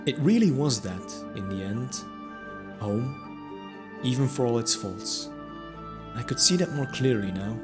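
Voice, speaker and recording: A man's voice: medium pitch, animated, measured pace, long pauses. A man narrating a first-person fantasy audio drama in a reflective storytelling manner. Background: music.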